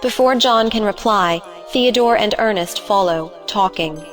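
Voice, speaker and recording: A woman narrator reads short stage directions from a script. Her voice sits at a middle pitch, with faint music underneath. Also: unhurried.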